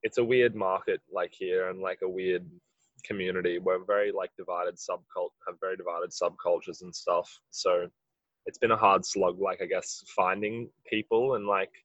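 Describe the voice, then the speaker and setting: A musician with a husky voice, answering interview questions in a rambling way, full of "like". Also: male voice, low-pitched, fairly even intonation, moderate pace, fairly bright tone, no echo, lo-fi sound. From Australia. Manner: rambling, heavy use of "like". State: husky from late rehearsal. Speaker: musician in a band.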